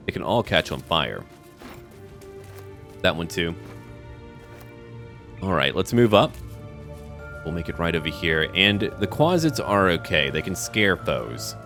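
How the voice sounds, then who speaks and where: A man with a deep voice talks through his game, thinking aloud and calling to his party in a chatty, relaxed way. His pitch swings lively, and soft music plays underneath. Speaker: gamer playing a role-playing game. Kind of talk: casual let's-play commentary.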